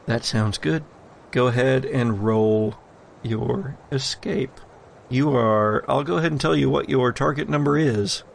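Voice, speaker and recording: Slow, creaky-voiced man as horror game master. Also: medium pitch, a little hiss or hum. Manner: dramatic, deliberate storytelling. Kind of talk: narrating a horror tabletop game, addressing players.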